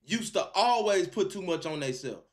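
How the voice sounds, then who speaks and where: A man's voice: sits high, animated, moderate pace. A man hosting a live call-in stream, chatting casually and encouragingly in slangy talk, repeating phrases for emphasis. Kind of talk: casual chat with a caller.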